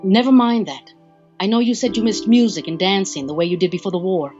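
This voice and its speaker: Immortal devoted female lover, played by an actress, mid pitch, gentle, formal, coaxing; audio drama, muffled over soft music. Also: brisk, close to an everyday voice. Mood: tender, courting.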